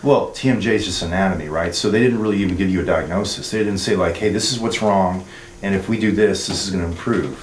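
Man, middle-aged, dentist, low voice, leading questions, clinic room with echo, faint hiss. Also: quick pace. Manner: leading, uses tag questions and jargon. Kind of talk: clinical interview with a patient. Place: dental clinic treatment room.

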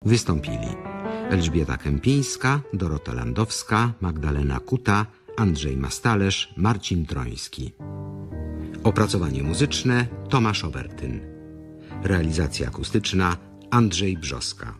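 A man formally announces radio drama credits. He speaks slowly with animated intonation. Music plays clearly behind his voice. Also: low voice. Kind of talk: formal scripted credits reading.

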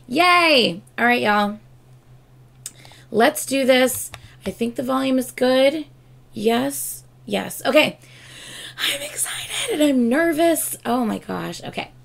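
Woman, thirties, hoarse, gravelly, higher-pitched, chatty and gushing, home desk webcam. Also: slow pace. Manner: excitable, chatty, gushing with laughs and exclamations. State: losing her voice, hoarse. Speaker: K-pop reaction YouTuber. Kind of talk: casual reaction vlog. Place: home room with shelves of albums and collectibles, indoors. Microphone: webcam framing at desk.

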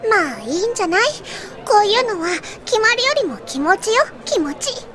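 An extremely high, swooping girl's voice in an anime audio drama. She is a bossy, prickly teenage idol who scolds haughtily, with loud music behind her. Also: big pitch swings, normal speed.

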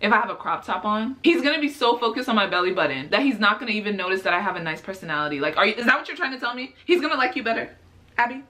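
A woman's voice: medium pitch, expressive, rapid-fire, bright, forceful tone. A woman in her twenties hosting a casual reaction video at home, sassy and exasperated, arguing with the clip she watches. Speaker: YouTube reaction host. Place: small home room, indoors.

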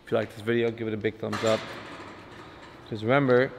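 A man's voice: lowish voice, flat delivery, a little fast. A man in his thirties chatting casually as a family vlogger, to the camera and to a pet. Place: mostly outdoors at a marina and patio, later garage and home. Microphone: camera held at arm's length. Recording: clear background noise, handheld camera outdoors.

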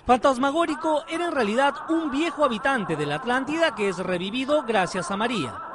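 A man's voice: extremely high pitch, lively intonation, brisk, background noise. A man with a Peruvian accent narrating a scripted TV feature as a reporter, in a theatrical, emphatic storytelling manner. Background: music behind the voice.

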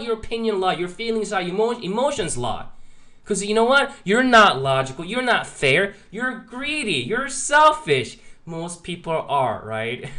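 Higher-pitched man teaching, slightly congested. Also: sharp tone. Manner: emphatic, checks in with rhetorical questions. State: slight sore throat and sinus congestion. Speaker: market analyst and host of a trading update. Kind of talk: informal chart commentary, teaching. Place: voice-over on a screen recording.

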